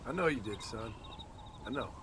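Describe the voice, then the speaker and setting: An actor playing a gruff, world-weary middle-aged ex-outlaw rancher with an American Western drawl in a video game cutscene. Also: male voice, mid-range voice, lively intonation, moderate pace, background noise, close to an everyday voice. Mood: calm.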